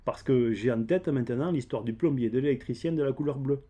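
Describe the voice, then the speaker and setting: A man's voice: mid-range voice, brisk, dark tone. A man with a southern French accent talking casually and unscripted as a DIY channel host, explaining things in a hesitant, rambling way. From south of France. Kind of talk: casual unscripted vlog talk.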